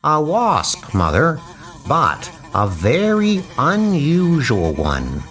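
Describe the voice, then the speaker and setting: A man's voice: a little high, wildly swooping pitch, very slow, fairly bright tone, soft background music, full character voice. A man voicing an earnest, polite schoolboy insect collector in a comic book dub, speaking precisely. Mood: eager, reassuring.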